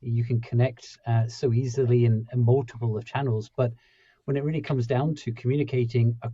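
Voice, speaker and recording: A man's voice: medium pitch, fairly even intonation, brisk, warm tone, husky, no room sound. A man hosting a podcast interview, thinking aloud with many restarts and fillers. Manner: rambling, full of restarts and fillers. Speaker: podcast host. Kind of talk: conversational interview. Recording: dull, dry lo-fi audio.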